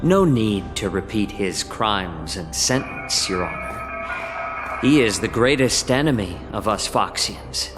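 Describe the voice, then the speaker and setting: A man playing a composed, courteous fox-folk envoy in a video game, speaking in a measured, formal way with a dry teasing edge. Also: on the low side, expressive, big-room sound, over music. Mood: grave, firm.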